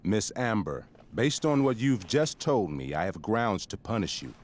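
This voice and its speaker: A stern middle-aged school principal with a lowish voice. He speaks in formal, pompous, wordy sentences, handing down judgment as in a dramatic video game scene. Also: very animated, measured pace. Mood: grave, disappointed.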